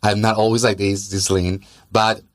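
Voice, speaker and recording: Low-voiced Colombian man, earnest and instructive. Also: flat delivery, normal speed, dry recording, studio quality. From Colombia and South America. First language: Spanish. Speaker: interview guest, gym owner and fitness trainer. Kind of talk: conversational podcast interview.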